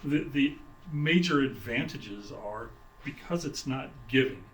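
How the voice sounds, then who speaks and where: A man giving an informal product explanation in an interview as a sales director, speaking haltingly with restarted phrases. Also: mid-range voice, lively intonation, a little hiss or hum, low-quality recording. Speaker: sales director for a loudspeaker maker. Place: exhibit room at an audio trade show.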